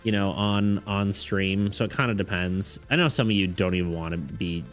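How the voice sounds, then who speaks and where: Low-voiced man rambling on stream. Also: normal speed. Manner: rambling, restarting sentences, laughing often. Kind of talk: casual live-stream chat with viewers. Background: faint background music.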